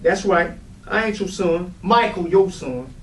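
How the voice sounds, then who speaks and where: High-voiced actor as hurt, defiant son, roomy recording. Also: male voice, lively intonation, measured pace, fairly bright tone. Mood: bitter, defiant. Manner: pleading and confrontational. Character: hurt, defiant grown son of an angry father. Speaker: stage actor in a readers theatre. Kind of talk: dramatic staged play reading. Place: live readers theatre room. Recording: roomy, distant mic with light noise.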